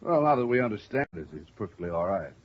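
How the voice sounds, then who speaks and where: A man's voice: on the low side, very animated, quick pace. An American man playing a genial, hesitant police lieutenant in a 1950s radio drama, folksy and reassuring. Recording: dull vintage radio recording.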